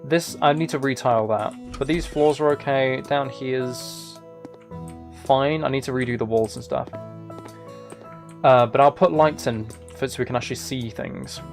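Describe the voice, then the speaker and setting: A man's voice: medium pitch, normal speed, gravelly, faint music behind. A man live-streaming video games, chatting casually to his viewers and thinking aloud as he plays.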